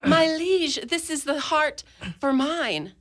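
An actress playing an eager, lovestruck young noble maiden in a comic Shakespearean radio drama, with theatrical delivery. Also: female voice, high voice, takes it slowly. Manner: theatrical, mock-Elizabethan delivery.

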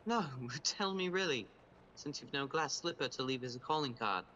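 An actor playing an awkward, earnest young clerk in a radio play, nervous and halting. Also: male voice, mid-range voice, lively intonation, pushed and bright, muffled recording, slight background noise. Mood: smitten.

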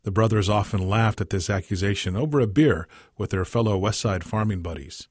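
Low, husky male story reader. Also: brisk, darkish tone, dry recording, clean studio sound. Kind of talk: scripted short story narration.

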